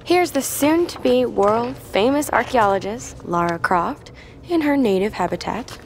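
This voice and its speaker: A woman's voice: on the high side, animated, measured pace, soft background music. A woman playing an upbeat, teasing and loyal young friend in a video game cutscene. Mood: playful, mock-grand.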